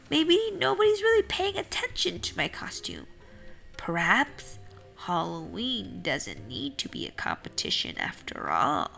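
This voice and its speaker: A woman reads as a grumpy, worrying seed character from a storybook. He is theatrical and exclamatory, with a bright, forceful, high voice that swings up and down. Soft music plays along. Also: vocal fry, heavily acted. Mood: sheepish. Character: grumpy, anxious male seed, dramatic but softening. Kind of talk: children's picture book read-aloud.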